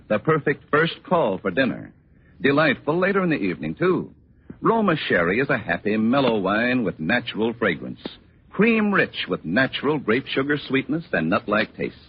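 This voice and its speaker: An American man announcing as a 1940s radio sponsor spokesman with a polished, measured sales pitch. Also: breathy edge. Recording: tinny, narrow-band 1940s radio recording.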